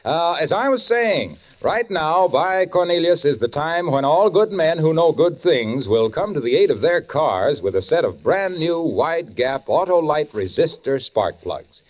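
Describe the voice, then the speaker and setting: An actor playing an effusive, florid pitchman in a 1940s radio advertisement, gushing theatrically with flowery words. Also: big pitch swings, unhurried, few pauses. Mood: enthusiastic selling. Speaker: commercial announcer. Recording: dull 1940s radio recording.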